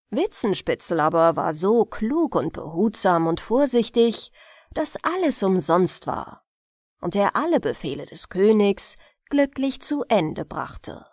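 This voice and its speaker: Slow, lively-pitched woman reading fairy tales. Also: no room sound, studio quality. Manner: expressive, unhurried storytelling for relaxation. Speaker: audiobook storyteller. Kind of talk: bedtime fairy-tale narration.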